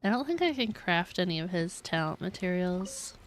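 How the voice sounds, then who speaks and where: A woman's voice: lowish voice, big pitch swings, moderate pace, a little hiss or hum. A woman streaming a video game and chatting casually with viewers in a rambling, giggly, filler-heavy way. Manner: rambling, giggly, hedging with frequent "like". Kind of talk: casual live-stream chat while playing a game. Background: game music.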